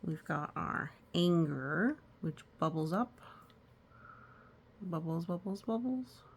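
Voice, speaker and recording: A woman reading aloud for children in a storytime style, gently explaining with casual asides. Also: on the low side, expressive, slow, gravelly, a little hiss or hum. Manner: gentle explaining to young listeners. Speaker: storytime reader.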